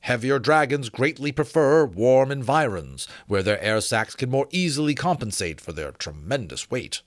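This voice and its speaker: Guy doing a stuffy gentleman naturalist from a storybook, formal n emphatic, voice goes up and down loads, dry sound. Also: medium pitch, sing-song, normal speed, no room sound, close to an everyday voice. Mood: lecturing, emphatic. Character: pompous learned Georgian gentleman scholar writing on dragons. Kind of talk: dramatic storybook reading.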